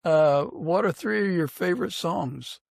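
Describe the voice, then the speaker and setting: Gravelly American man hosting, dry close sound. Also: sits high, a little slow, dry recording. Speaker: podcast host, interviewer. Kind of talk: casual interview chat.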